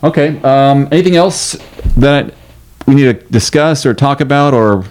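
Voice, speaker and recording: A man co-hosting a podcast in relaxed, chatty conversation with frequent restarts. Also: mid-range voice, moderate pace. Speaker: podcast co-host. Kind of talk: casual chat.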